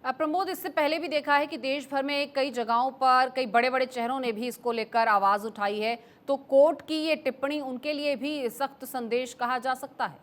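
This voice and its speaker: A woman's voice: high voice, fairly even intonation, moderate pace, few pauses. A woman presenting breaking news as a TV anchor, emphatic and repeating key phrases. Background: news music.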